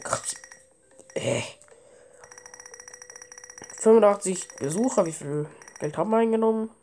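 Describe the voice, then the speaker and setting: A woman's voice: medium pitch, lively intonation, unhurried, dark tone, slight background noise. A woman with a cold giving offhand, casual commentary while playing a game at home. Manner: offhand, mixes English game terms. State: has a cold. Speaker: let's play gamer.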